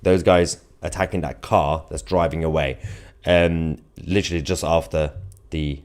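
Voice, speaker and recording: A man's voice: very deep voice, steady tone, unhurried, darkish tone. A man hosting a live news commentary show, speaking to viewers in a sardonic, mocking and emphatic way. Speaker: host of online political news show.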